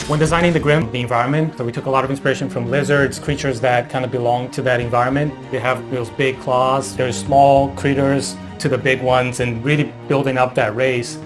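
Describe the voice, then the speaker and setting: A man's voice: medium pitch, level tone, fairly quick, hardly any pauses, music in the background. A man speaking as an art director in a documentary-style interview.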